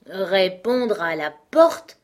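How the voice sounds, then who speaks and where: A woman voicing a very old female frog in a storybook, grumbling in a slow, hoarse drawl with rustic, ungrammatical speech. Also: medium pitch, sing-song. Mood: puzzled, grumbling. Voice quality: hoarse, husky.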